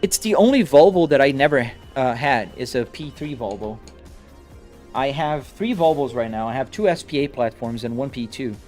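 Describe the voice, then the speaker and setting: A man's voice: mid-range voice, animated, normal speed, a little mellow, faint music behind. A man from Brazil with a Brazilian-American accent, streaming live from his home simulator rig and chatting casually with viewers, answering comments on the fly with frequent restarts. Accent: Brazilian Portuguese mixed with American English.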